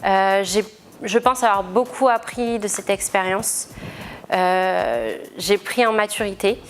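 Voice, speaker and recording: A woman's voice: mid-range voice, lively intonation, takes it slowly, a little hiss or hum. Young female student, mid-range voice, earnest formal testimonial delivery. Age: young adult. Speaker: student back from internship abroad. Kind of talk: interview testimonial.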